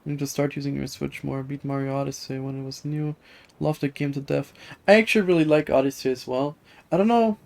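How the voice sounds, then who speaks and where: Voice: mid-range voice, brisk, vocal fry, a little mellow. Casual, rambling game streamer. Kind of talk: casual live gameplay commentary, chatty.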